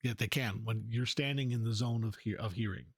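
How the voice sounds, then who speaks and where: Male creator off script, casual and exasperated; husky, creaky voice. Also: on the low side, a little fast. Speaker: video creator, voice performer.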